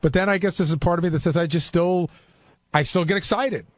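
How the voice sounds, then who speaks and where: A man's voice: sits high, brisk, dry recording. A man talking casually as a panelist on a radio show, candid and ready to argue his point.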